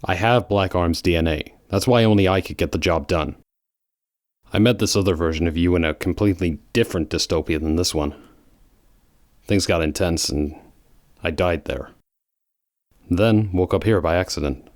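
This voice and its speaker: A man playing a brooding, serious hedgehog antihero with terse, deadpan lines in a fan audio drama. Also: deep voice, normal speed, long pauses, no room sound, studio quality, natural voice.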